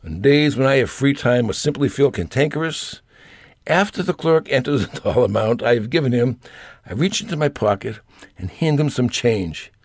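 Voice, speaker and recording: A man's voice: mid-range voice, expressive, normal speed, no echo, studio quality. An older man reading a scripted personal essay aloud in a wry, grumbling manner. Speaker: storyteller reading own essay.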